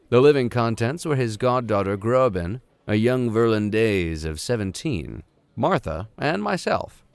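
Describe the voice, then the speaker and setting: A man's voice: medium pitch, creaky, dry recording. A man reading a classic novel aloud as host, dropping irreverent, slangy asides into the formal narration. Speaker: host and reader. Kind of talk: audiobook reading with joking improvised asides.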